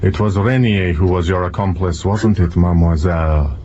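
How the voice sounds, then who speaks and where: An actor playing a shrewd, self-assured detective in an audio drama, speaking in a deliberate, mock-courteous, accusing manner. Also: male voice, deep voice, muffled recording, heavy background noise, close to an everyday voice. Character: shrewd male detective exposing a murderess, theatrical, self-assured.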